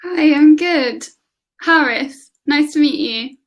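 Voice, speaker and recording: A woman with a high, light voice chats as an online tutor over a video call. She fires off question after question and laughs often. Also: takes it slowly, highs cut off. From England. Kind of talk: casual conversation lesson.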